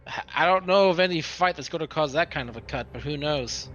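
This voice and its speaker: A man's voice: on the high side, fairly quick, a bit bright, dull audio, a little hiss or hum. A man chatting casually as a player in a tabletop roleplaying game.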